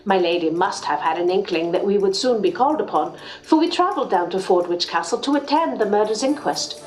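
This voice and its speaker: Woman acting as lady's companion telling a mystery story, formal radio play narration, expressive, music behind. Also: mid-range voice, a little fast, natural voice, low-quality recording. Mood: admiring, intrigued. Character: devoted female assistant narrating a detective tale. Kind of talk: scripted first-person radio drama narration.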